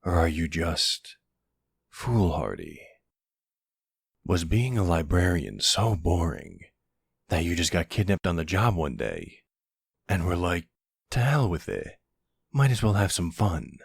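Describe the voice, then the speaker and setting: Mafia boss love interest, played by a man for ASMR roleplay: playful swagger, lively intonation, very close dry sound. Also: sits low, slow pace, long pauses, no room sound, exaggerated voice. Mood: teasing, fond. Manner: playful, teasing, smooth-talking with tough-guy swagger. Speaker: voice actor in an audio roleplay. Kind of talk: intimate ASMR boyfriend roleplay acting.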